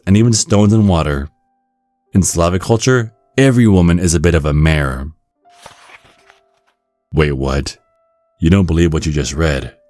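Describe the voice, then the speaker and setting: Man, very deep voice, measured ASMR narration, wry, bone-dry recording, faint music. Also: a little mellow, no echo. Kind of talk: ASMR scripted narration, reading a guide with dry asides.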